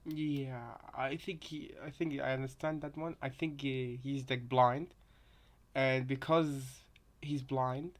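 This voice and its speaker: A man's voice: medium pitch, steady tone, takes it slowly. A man in casual chat as a co-commentator, explaining things simply and hesitantly, often restarting and repeating his phrases.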